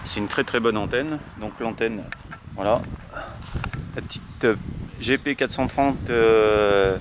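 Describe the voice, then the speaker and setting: A man's voice: fairly low pitch, slow, noisy background. A man from France with a French accent, chatting casually and hesitantly as a radio operator presenting his gear, often repeating himself. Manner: hesitant, repetitive. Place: outdoors at a roadside.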